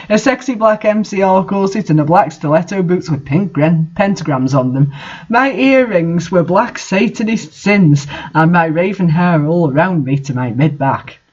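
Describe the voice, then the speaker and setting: A woman's voice: fairly low pitch, darkish tone, highs cut off. A woman reading fan fiction aloud as a dramatic narrator. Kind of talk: dramatic story reading with asides.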